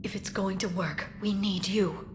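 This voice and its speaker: An actress playing an earnest, composed scientist aboard a military starship in a video game, speaking in a measured, serious, articulate way. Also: female voice, mid-range voice, fairly even intonation, background noise, close to an everyday voice. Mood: concerned, earnest. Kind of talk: video game cinematic acting.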